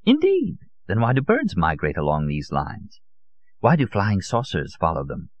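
A man's voice: low voice, wildly swooping pitch, moderate pace, dry recording, full character voice. A man voicing a fervent elderly conspiracy theorist in an audiobook, emphatic and rhetorical, holding forth in rambling monologue. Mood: lecturing. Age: older. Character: elderly Russian male conspiracy theorist, fervent, nostalgic taxidermist. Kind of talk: dramatised audiobook character. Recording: dull, muffled lo-fi audio.

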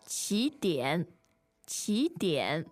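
A woman's voice: on the low side, sing-song, drawn-out words, a little mellow, soft background music. A woman co-hosting a language lesson in a conversational teaching style, often agreeing and repeating key phrases.

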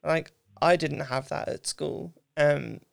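A woman, hosting a casual interview in an informal, thoughtful way with halting phrasing. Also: low voice, creaky, clean tone, no room sound, clean studio sound. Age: mid 30s. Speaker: podcast host, interviewer.

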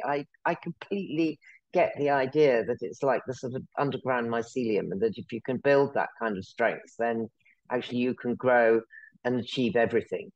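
A man's voice: mid-range voice, no room sound, highs cut off. A man hosting a talk event, thanking a speaker and introducing the next one. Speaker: event host.

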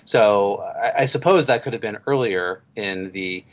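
A man's voice: fairly low pitch, measured pace. A man hosting a casual fan podcast, chatty and thinking aloud as he talks. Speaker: podcast host. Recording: slightly dull lo-fi audio.

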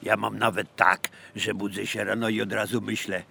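Cocky layabout sidekick for radio comedy; lowish yet bright voice, slangy street wisdom. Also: male voice, level tone, normal speed, vocal fry, slight background noise, heavily acted. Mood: self-satisfied. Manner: clipped slangy agreement with mock-grand phrases. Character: idle male drinking buddy, cocky, agreeable street philosopher. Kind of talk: comic radio play dialogue.

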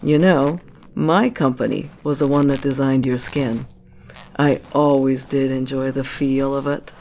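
A woman's voice: very deep voice, steady tone, measured pace, a little mellow, slight background noise. A woman playing a commanding, curious corporate director in an audio drama, with a teasing, probing and self-assured manner. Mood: intrigued. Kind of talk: scripted audio drama acting.

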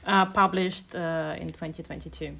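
A woman poet and translator from Kazakhstan, speaking in a measured, hesitant way as she talks and reads. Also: low-pitched, fairly even intonation, vocal fry, bright, forceful tone. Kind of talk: casual conversation and poetry reading.

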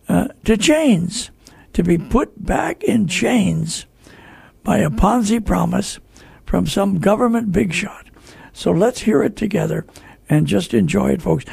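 An older American man with a gravelly, husky voice, hosting a radio talk show in a folksy, conversational way. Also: sits high, lively intonation, a little slow, darkish tone, dry recording. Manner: folksy, addresses listeners as folks.

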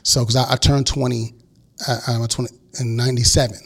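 A man's voice: mid-range voice, flat delivery, fairly quick, creaky, a bit bright, slightly rough. A man in his forties, a guest record producer, telling his story in a casual interview and acting out the quotes of the people in it.

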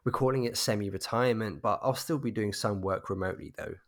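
A man's voice: on the low side, quick pace, natural voice. A man playing an anxious, politely evasive bereaved husband in an audio drama, speaking hesitantly. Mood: polite, guarded.

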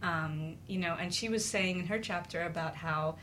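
A woman's voice: lowish voice, moderate pace, a bit bright, a little hiss or hum. A woman co-hosting a talk show, sharing personal experience in an earnest, confiding, conversational way.

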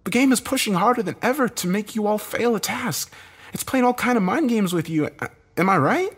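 A man's voice: sits high, animated, brisk, husky, voice pushed up. A man voicing a desperate, bitter man in a dramatic story, agitated, urgent and given to swearing. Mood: pleading, urgent. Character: Josh, desperate bitter man, cornered game loser.

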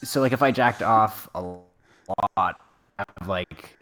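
A man co-hosting a podcast, chatting casually and jokingly in a halting, rambling, informal way. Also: fairly low pitch, a bit bright. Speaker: podcast co-host.

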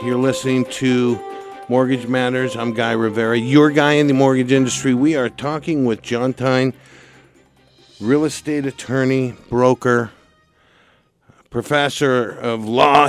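A man from California hosting a radio talk show, chatting casually and pausing often with fillers and restarts. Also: medium pitch, vocal fry, no room sound. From Santa Barbara, California. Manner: halting, with many fillers and restarts.

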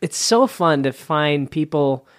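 A man's voice: on the high side, lively intonation, slow pace, no echo, clean studio sound. A man in his late thirties from Salt Lake City, a wedding DJ chatting as a guest and telling playful stories. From Salt Lake City, Utah. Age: 30s. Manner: chatty, storytelling, playful, name-dropping. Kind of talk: casual chat.

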